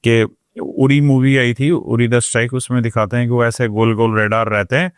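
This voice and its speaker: A man's voice: medium pitch, little pitch movement, normal speed, clear, resonant tone, no echo, studio quality. A man with a North Indian accent hosting a casual interview, inquisitively rephrasing points and asking follow-up questions. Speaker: interviewer, host.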